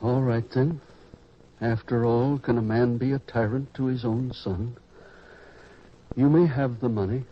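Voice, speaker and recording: An actor playing an older, kindly and worried farmer father in an old-time radio drama, earnest and measured as he pleads. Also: medium pitch, fairly even intonation, slight background noise, natural voice. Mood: resigned, tender. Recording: dull lo-fi radio recording.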